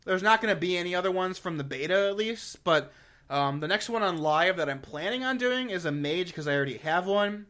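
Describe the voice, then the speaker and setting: A man's voice: high-pitched, expressive, fairly quick, a bit bright. A man giving casual, unscripted commentary to viewers, rambling informally and leaning hard on key words. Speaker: gaming YouTuber giving a review and channel updates.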